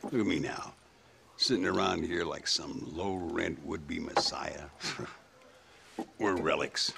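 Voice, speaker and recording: An actor playing a world-weary, wry old American gunslinger with a gravelly drawl in a western video game. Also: male voice, low voice, slow, noisy background, heavily acted. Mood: rueful. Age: older. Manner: wry, drawling, chuckling cynicism.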